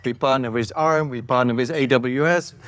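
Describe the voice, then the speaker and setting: A man's voice: medium pitch, moderate pace, a bit bright. A man in his fifties from the Netherlands with a Dutch accent, speaking unscripted as a conference panelist. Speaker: panelist, software company representative. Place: on stage at a conference panel in a curtained room. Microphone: lapel mic.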